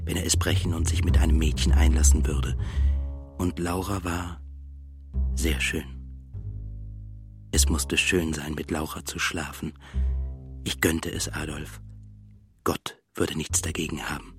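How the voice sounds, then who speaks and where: Introspective composer for radio drama: very low voice, measured melancholy delivery, music clearly underneath. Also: male voice. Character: reflective, melancholy male composer, first-person narrator. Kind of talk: literary radio drama monologue. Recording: clear music bed behind the voice.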